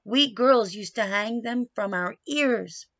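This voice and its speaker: A woman's voice: fairly high pitch, slow, a bit bright, dull audio. A woman voicing a caring, dreamy mother from a children's storybook. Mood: dreamy, wistful. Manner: dreamy reminiscing, with firm calls of caution.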